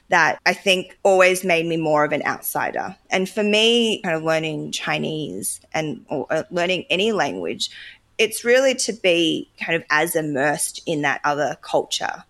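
A woman's voice: deep voice, a bit bright. A woman talking thoughtfully in a casual discussion, often hedging with "kind of". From Australia.

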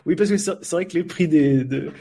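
A man's voice: fairly high pitch, brisk, vocal fry, warm tone, breathy edge. A man from France, a volunteer football blogger, answering interview questions in a hesitant way, with many fillers and restarts.

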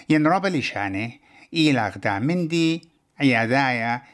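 A man's voice: medium pitch, lively intonation, slow pace. A man narrating a scripted radio feature as its presenter.

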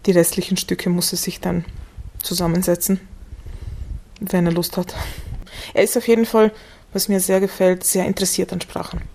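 A woman's voice: lowish voice, flat delivery, normal speed, long pauses, warm tone, slight background noise. A woman, a literary scholar, talking thoughtfully in an interview at her home. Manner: thoughtful, reflective. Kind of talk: recorded interview.

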